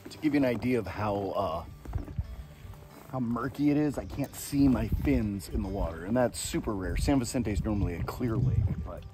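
A man's voice: lowish voice, normal speed, over music. A man, chatting casually on a boat on a lake while he vlogs about fishing and tastes drinks. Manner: relaxed, laid-back tasting commentary. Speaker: fishing vlogger. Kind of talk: casual outdoor vlog chat.

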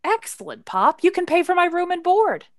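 A woman's voice: very high-pitched, big pitch swings, moderate pace, no room sound, clean studio sound. A woman playing an eager, teasing young son in a radio play. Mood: eager, cheeky.

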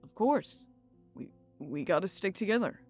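A woman's voice: mid-range voice, expressive, moderate pace, dry recording, soft background music, lightly acted. A woman voicing a friendly, reassuring young guardian who travels with the heroine in a fan audio drama.

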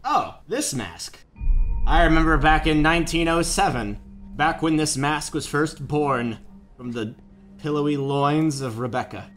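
A man's voice: fairly high pitch, animated, unhurried, fairly bright tone, faint music behind. A man playing an affable businessman who rambles through absurd stories in an improvised comedic game fandub. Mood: absurd reminiscing.

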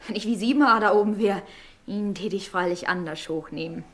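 An actress playing a hard, defiant young maidservant in a radio drama, speaking curtly in rustic German. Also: female voice, mid-range voice, fairly quick, fairly bright tone, close to an everyday voice. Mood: tart, scornful. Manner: curt, tart, rustic.

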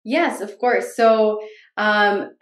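A woman's voice: mid-range voice, steady tone, drawn-out words. A woman in her thirties from Ethiopia, a journalist answering interview questions on a video call, chatty with lots of ums and likes. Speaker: journalist guest. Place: home room with bookshelves. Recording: roomy video call audio.

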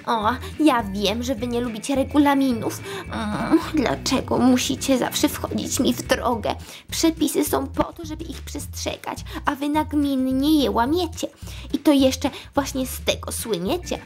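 Casting: officious male Smurf rule-keeper played by a woman, preachy, easily offended, highish voice, toy-story play. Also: a little slow, darkish tone, soft background music. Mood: indignant, lecturing. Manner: preachy, huffy, lecturing. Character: pompous, scolding rule-obsessed know-it-all male Smurf.

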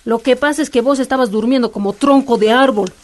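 An actress as a high-voiced, easygoing Bolivian wife. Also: female voice, a little fast, darkish tone, lightly acted. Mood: soothing, chatty. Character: easygoing, chatty homemaker wife soothing her grumpy husband. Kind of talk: radio soap acting.

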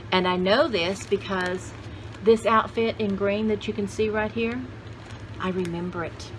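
An older woman talks at a slow, unhurried pace in a casual, rambling show-and-tell style. Her pitch sits in the middle for a woman, and she often thinks aloud. The recording is a homemade one with clear background noise. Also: a bit bright. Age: older, about 60s. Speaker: vintage haul video host. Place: small indoor room with cluttered shelves. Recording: clearly noisy home camera recording.

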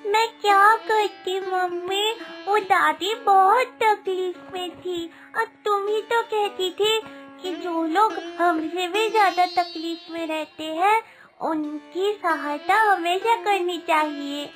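A woman's voice: extremely high pitch, takes it slowly, fairly bright tone, heavily acted. A woman voicing a kind, innocent little village girl in a cartoon, with an earnest manner. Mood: earnest, apologetic. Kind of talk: Hindi cartoon moral story acting. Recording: music behind the voice.

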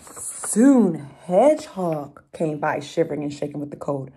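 A woman's voice: low voice, very animated, measured pace, mellow, husky. A young adult woman reading a picture book aloud to children, expressively, with chatty explanatory asides. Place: room at home, indoors.

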